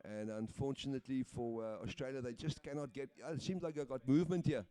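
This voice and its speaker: A man's voice: mid-range voice, fast, dark tone, no room sound. South african guy doing co-commentary, afrikaans first language, says "yeah, no" a lot. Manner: starts answers with "yeah, no". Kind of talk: sports commentary.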